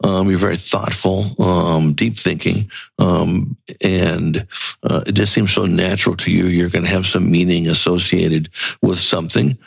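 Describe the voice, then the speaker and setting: A man's voice: deep voice, steady tone, a little slow, vocal fry, husky, no room sound, poor audio. A man hosting a community podcast, affirming his guest in a rambling way full of fillers. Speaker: podcast host. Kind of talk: radio interview hosting.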